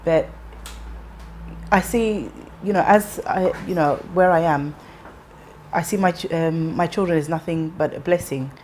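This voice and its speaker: Low-voiced woman in her thirties, reflective. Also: darkish tone, slight background noise. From England. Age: 30s. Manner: reflective, measured, with frequent ums and restarts. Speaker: interviewee. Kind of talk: personal life story interview.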